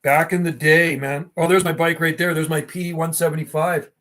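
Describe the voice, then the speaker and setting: A middle-aged man with a slightly higher-than-usual voice hosts a casual livestream. He rambles in loose, slangy phrases, reminiscing as if chatting with friends. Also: flat delivery, normal speed, a bit bright. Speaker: livestream host.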